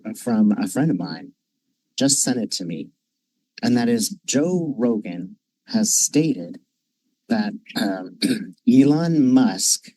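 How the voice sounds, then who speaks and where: A man talks in a loose, conspiratorial ramble, stopping often on fillers. His voice sits in a middle pitch. The sound is dry and close, with no room around it. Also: mellow, no room sound, rough recording. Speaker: channel host. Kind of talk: casual commentary.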